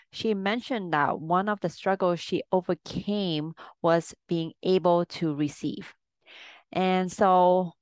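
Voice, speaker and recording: A woman's voice: lowish voice, clear, resonant tone, no echo. A woman from Hong Kong hosting her own podcast as a life coach, talking through her points in an earnest, conversational way and repeating them for emphasis. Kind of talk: solo podcast monologue.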